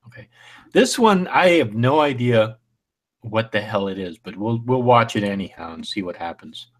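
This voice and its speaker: A man's voice: fairly low pitch, animated, moderate pace. A man co-hosting a casual livestream, commenting wryly on the videos they play. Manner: casual and wry. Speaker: podcast co-host.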